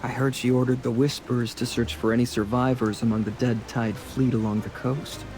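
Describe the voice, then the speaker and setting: A man's voice: medium pitch, level tone, normal speed, a little mellow, faint music behind, close to an everyday voice, rough recording. A man voicing a roguish, charming, chatty sea adventurer in a fantasy game, with a breezy, self-assured way of talking. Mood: confident, musing. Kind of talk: voiced dialogue in a fantasy game.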